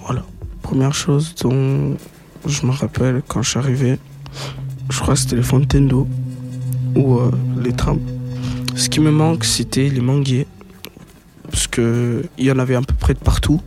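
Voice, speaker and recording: A teenage boy from Congo describes his name and family in plain, slightly halting speech. His voice is of middle pitch, and music plays clearly underneath. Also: little pitch movement. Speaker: interviewee. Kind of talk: personal storytelling to camera.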